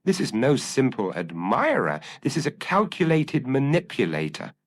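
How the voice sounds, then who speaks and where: A man voicing a shrewd, commanding Victorian detective in an audio storybook, speaking formally and deliberately. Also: medium pitch, huge pitch swings, pitched above the performer's own voice. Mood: intense, deductive.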